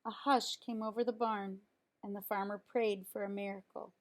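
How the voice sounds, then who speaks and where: A woman reading a children's picture book aloud in an expressive way, as if to young listeners. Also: medium pitch, moderate pace. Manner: expressive, explains words simply to children. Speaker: storybook reader.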